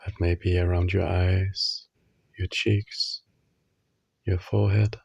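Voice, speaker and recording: Male meditation guide, husky and very unhurried, soothing short phrases, even delivery for sleep relaxation. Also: sits low, no echo, muffled recording. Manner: slow, soothing, instructional, with long pauses between short phrases.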